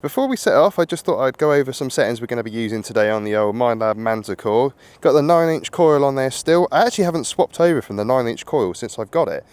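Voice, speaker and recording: A man with a husky voice from a cold, chatting casually while metal detecting in an open field outdoors. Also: medium pitch, expressive, fast, hardly any pauses, a little mellow, no echo, faint music behind. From England. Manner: chatty, rambling, upbeat, laughs often. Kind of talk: casual outdoor vlog chat.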